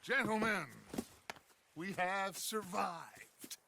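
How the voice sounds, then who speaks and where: An actor playing a charismatic middle-aged American outlaw leader in a video game, speaking like a commanding orator. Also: male voice, sits high, drawn-out words, gravelly, sharp tone, a little hiss or hum, exaggerated voice. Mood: grand, hopeful. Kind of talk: dramatic video game acting.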